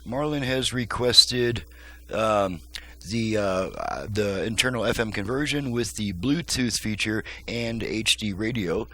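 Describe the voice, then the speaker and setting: A man's voice: fairly low pitch, expressive, a little slow, a bit bright. A slightly tired man giving a casual, rambling, folksy demonstration as a repairman showing his work. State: a bit fatigued. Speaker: car radio repairman presenting his work.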